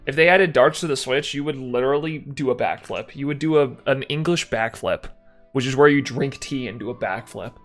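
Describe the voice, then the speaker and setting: Young male streamer with a light Texan accent, casual and meandering, talking to chat with soft background music. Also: mid-range voice, fairly quick. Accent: mild Texan. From Texas. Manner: rambling, self-correcting, chatty with chat.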